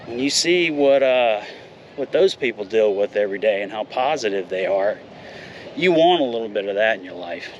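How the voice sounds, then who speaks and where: A man's voice: fairly low pitch, lively intonation, moderate pace, highs cut off, a little hiss or hum. A man in his fifties talking in a casual, rambling vlog, candid and a little profane. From United States. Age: 50s. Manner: candid, rambling, mild swearing. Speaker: vlogger.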